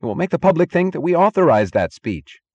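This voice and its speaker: A man's voice: on the low side, very animated, brisk, darkish tone, muffled recording, pitched above the performer's own voice. A man voicing an agitated, urgent male underling in an audiobook novel. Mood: alarmed. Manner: screaming, urgent.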